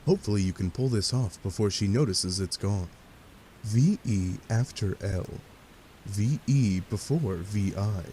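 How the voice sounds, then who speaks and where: Casting: male narrator for a wry story read-through; fairly deep, mellow voice, faint hiss behind it. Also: unhurried, rough recording. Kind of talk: scripted second-person story narration.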